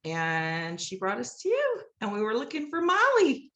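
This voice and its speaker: A woman's voice: on the high side, wildly swooping pitch, moderate pace, a bit bright. A woman speaking casually and conversationally as a guest in a podcast interview. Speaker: podcast guest, musical writer.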